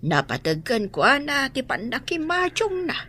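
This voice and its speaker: Bright, argumentative complainer. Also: female voice, sits high, huge pitch swings, normal speed, a little hiss or hum, full character voice. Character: complaining, argumentative grown woman fretting over gambling. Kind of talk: Ilocano radio drama acting.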